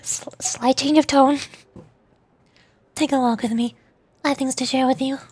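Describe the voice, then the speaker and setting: High-voiced, giggly female streamer. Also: fairly even intonation, normal speed, a little mellow, a little hiss or hum. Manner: playful, giggly, chatty. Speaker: VTuber streamer. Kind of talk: casual game commentary.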